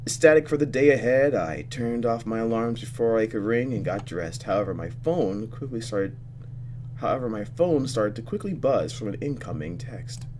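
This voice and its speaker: Man, gaming commentator, very animated, expressive read-aloud, sarcastic asides, faint noise behind. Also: mid-range voice, moderate pace. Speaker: let's player, channel host. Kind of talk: gameplay commentary, reading visual novel text aloud.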